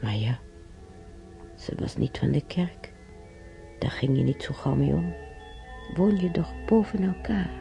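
An actress playing a weary, timid elderly former housemaid in a radio play, with a breathy, husky voice and halting, sighing delivery. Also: female voice, sits low, animated, warm tone, faint music behind, heavily acted. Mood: weary, musing. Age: older. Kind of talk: naturalistic radio drama monologue and dialogue. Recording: dull, muffled radio play recording.

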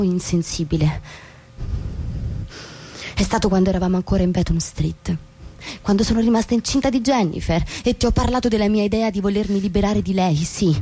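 An actress playing an anguished young suburban wife in a radio drama, confessing haltingly to her husband and repeating her words with intensity. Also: on the low side, warm tone, breathy edge. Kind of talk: theatrical radio drama dialogue.

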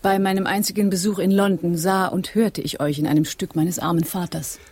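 A woman playing a polite, formal married gentlewoman in a radio drama. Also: fairly low pitch, fairly even intonation, brisk, breathy edge, no room sound. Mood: polite, reserved. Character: polite married gentlewoman, a poet's grown daughter.

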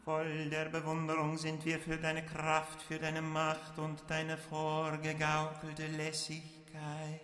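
An actress in a radio play playing a reverent, sensual worshipper, reciting a mock liturgy with a chanting delivery. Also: female voice, low-pitched, monotone, takes it slowly, hardly any pauses, a bit bright, in a large hall, faint music behind. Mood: rapt, reverent. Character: reverent, sensual female worshipper of animal flesh.

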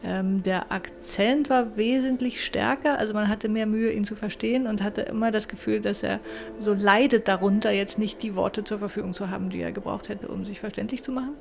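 A middle-aged woman lecturer speaks off the cuff in an office interview. Her mid-pitched voice has a gravelly creak. She halts as she searches for wording. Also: steady tone, brisk, soft background music. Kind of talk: spontaneous interview recollection.

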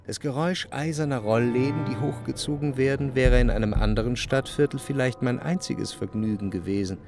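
A man playing a reflective, jealous lover who narrates his memories in measured literary prose for a radio drama. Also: mid-range voice, music in the background, lightly acted. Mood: contemplative remembering.

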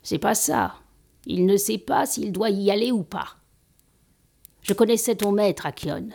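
A woman's voice: medium pitch, measured pace, creaky, dark tone. An actress playing a blunt-spoken, hospitable female master in an audio drama, speaking with familiar, instructive assurance. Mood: calm, instructive.

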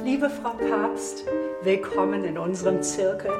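An actress playing a formal, status-conscious society hostess in a radio drama, gushing and condescending. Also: female voice, medium pitch, unhurried, over loud music, lightly acted. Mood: formally gushing.

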